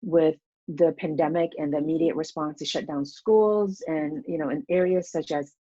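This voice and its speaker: A woman's voice: low voice, moderate pace, warm tone, breathy edge, dry recording, muffled recording. A woman in her thirties, originally from Vietnam, giving a conference presentation over a video call in a casual way, with many fillers like "um" and "you know". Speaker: teacher educator presenting. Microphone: computer mic on a video call.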